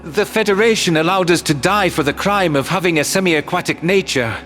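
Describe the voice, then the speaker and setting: A man's voice: sits high, a little fast, fairly bright tone, soft background music. A man voicing a nervous, aggrieved semi-aquatic alien representative making a formal speech in a sci-fi audio story.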